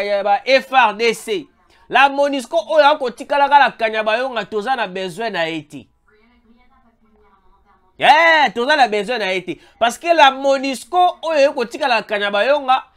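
Voice-over news commentator, Congolese French accent, stuffy with a cold, animated, keeps calling out to the audience. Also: mid-range voice. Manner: animated, repeatedly calls out to viewers, mixes in Lingala. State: has a head cold. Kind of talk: emphatic news commentary to viewers. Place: voice-over over a still image.

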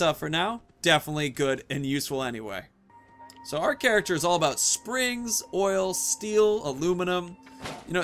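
A man in his thirties hosts a gameplay video in a slightly high, noticeably creaky voice. He talks casually and rambles, thinking aloud with muttered asides. Faint music plays behind him. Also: a bit bright. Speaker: video game streamer and host.

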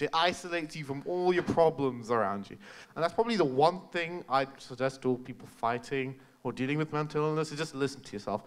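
A young male student speaks in an animated, advising way into a handheld mic. His voice sits a little high for a man. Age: young adult. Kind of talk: panel advice.

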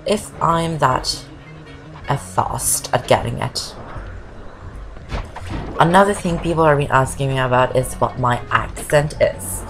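A woman's voice: extremely low pitch, slow pace, pauses often, poor audio. A woman from Norway, not an English speaker, giving rambling casual commentary over a game walkthrough and saying "I guess" a lot. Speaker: gameplay commentator. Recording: voice over loud game music.

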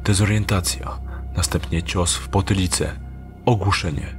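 Man playing a low-voiced, calculating thief. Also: normal speed, soft background music, natural voice. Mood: cold, tense. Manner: terse, clipped muttering to himself. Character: cunning, cold, calculating male thief. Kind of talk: Polish audio drama acting.

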